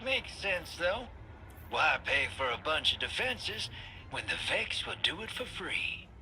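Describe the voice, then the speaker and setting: An actor playing a cocky, roguish pirate adventurer in a video game, wisecracking with a laid-back swagger. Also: male voice, on the high side, big pitch swings, unhurried, pushed and bright, slight background noise, exaggerated voice. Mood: teasing. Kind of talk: video game cutscene acting.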